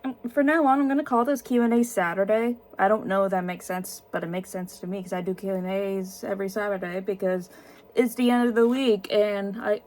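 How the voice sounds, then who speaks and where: Tired young woman, halting speech. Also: mid-range voice, steady tone, a little mellow, low-quality recording. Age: 20s. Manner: halting, many ums and restarts. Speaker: YouTuber answering viewer questions. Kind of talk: casual solo Q&A vlog.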